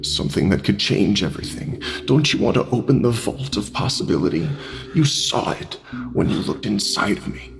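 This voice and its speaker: A man playing a sinister talking pig in an audio drama, seductive and manipulative, with breathy persuasion that slips into whispers. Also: fairly low pitch, big pitch swings. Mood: seductive, menacing. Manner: slow, breathy persuasive delivery slipping into whispers. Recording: music under the voice.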